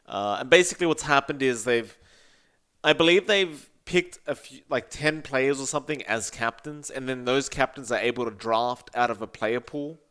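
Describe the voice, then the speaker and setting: A man's voice: medium pitch, normal speed, fairly bright tone, smooth. A young Australian man co-hosting a gaming podcast, ranting bluntly with profanity and often calling his co-host by name. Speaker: podcast co-host and esports caster. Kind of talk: casual podcast chat.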